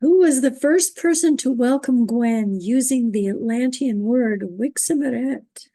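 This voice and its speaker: A woman moderating an online trivia quiz, reading out questions and standings, who is losing her voice and keeps clearing her throat. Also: on the high side, warm tone, no room sound. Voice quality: gravelly, husky. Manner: upbeat, reading standings, halting on invented words.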